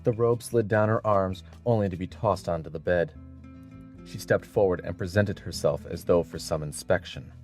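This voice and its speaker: A man's voice: low-pitched, normal speed, a little mellow, soft background music. A man narrating an audiobook story in a scripted, dramatic style.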